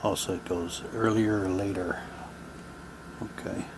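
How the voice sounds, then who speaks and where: A man giving halting, low-key, wry commentary as he casually unboxes items and reads captions aloud. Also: steady tone, noisy background. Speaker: unboxing video host.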